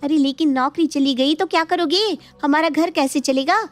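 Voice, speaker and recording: Lady doing fed up worried wife, high voice, scolding in a cartoon story, faint music. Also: normal speed, no echo, natural voice. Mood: exasperated. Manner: nagging, urgent questioning. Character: exasperated, anxious wife scolding her husband. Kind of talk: animated Hindi moral story dialogue.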